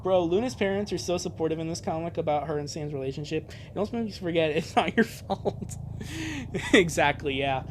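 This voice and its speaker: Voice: medium pitch, fairly quick, a little hiss or hum. A streamer in their twenties reading fan fiction aloud on a live stream, jokey and often breaking off into asides. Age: 20s. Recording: music behind the voice. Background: music.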